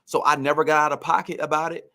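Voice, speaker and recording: A man's voice: a little high, flat delivery, quick pace, pushed and bright. A man, a former pro football receiver, telling career stories on a podcast in a reflective, emphatic way.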